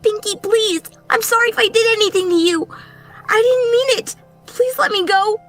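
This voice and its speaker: A frightened female pegasus pony acted out by a woman begs in a very high, desperate voice. The words stumble out in panic over clear background music, as in a dramatized horror story. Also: normal speed, a bit bright. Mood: desperate, pleading. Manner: desperate, stammering. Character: terrified, pleading female cartoon pegasus pony. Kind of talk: dramatized horror fan fiction reading.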